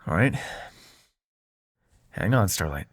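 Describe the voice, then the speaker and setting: A man playing a snarky but secretly soft-hearted young demon prince in an intimate audio roleplay, teasing the listener and turning hesitant when showing affection. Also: deep voice, big pitch swings, slow pace, husky, heavily acted. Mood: playful, sardonic.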